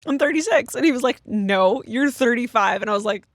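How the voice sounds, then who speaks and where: A woman's voice: a little high, expressive, fairly quick, no room sound. A woman in her thirties co-hosting a podcast, chatting casually and telling stories with lots of fillers. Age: 30s. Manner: chatty storyteller, many fillers. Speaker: podcast co-host. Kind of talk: casual chat.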